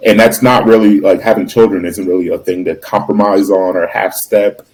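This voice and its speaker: Deep-voiced earnest man. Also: fairly even intonation, a little mellow, soft background music, rough recording. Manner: earnest, measured, lots of fillers. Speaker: podcast guest. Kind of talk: casual chat.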